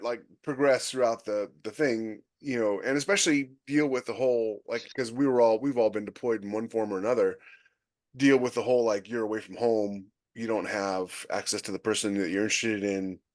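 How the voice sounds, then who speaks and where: A man's voice: lowish voice, fairly quick, no echo. A man co-hosting a podcast panel, chatting casually about writing, rambling with lots of restarts and fillers. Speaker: podcast co-host, author.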